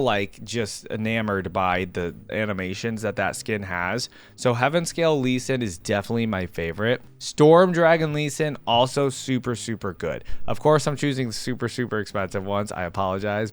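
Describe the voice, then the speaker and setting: A man's voice: on the low side, clear, resonant tone. A man giving casual, informal reaction commentary, rambling along as he talks straight to his viewers. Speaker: reaction video host.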